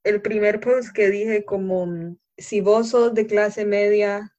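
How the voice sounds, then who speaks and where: A woman's voice: medium pitch, level tone, takes it slowly, mellow, muffled recording. A young woman with a Honduran Spanish accent, earnestly explaining and arguing her point in a casual conversation. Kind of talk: casual conversation on social issues.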